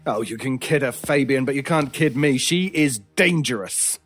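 An actor playing a menacing stranger in a horror radio drama, theatrical and deliberate, with cutting scorn and cold persuasion. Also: male voice, medium pitch, close to an everyday voice. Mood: cold, mocking. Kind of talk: old-time radio style horror audio drama acting.

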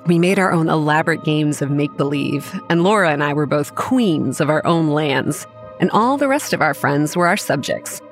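An actress playing a self-assured young woman who manages a campground, narrating a horror audio drama in a measured, matter-of-fact way. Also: sits low, animated, soft background music. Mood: measured, reminiscing. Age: young adult. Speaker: narrator and lead character. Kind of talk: scripted first-person horror drama narration.

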